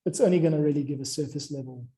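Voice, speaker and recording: A man's voice: on the high side, rapid-fire, dark tone. A man in an informal work conversation, talking as a music creator.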